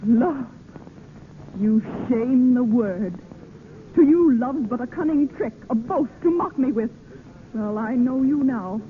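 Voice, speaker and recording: A woman's voice: fairly high pitch, animated, slow pace. An actress playing a proud, wounded young noblewoman, formal and emotional in an old radio drama style. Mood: bitter, wounded. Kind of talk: 1940s radio acting. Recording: tinny, hissy old radio recording.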